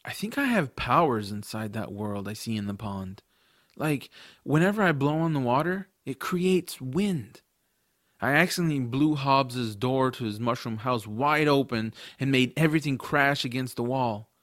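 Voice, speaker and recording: A man playing a curious, adventurous young boy who narrates his own story in an expressive, earnest audiobook style. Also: mid-range voice, moderate pace, no echo, clean studio sound, close to an everyday voice. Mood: earnest, wistful. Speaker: narrator and lead character.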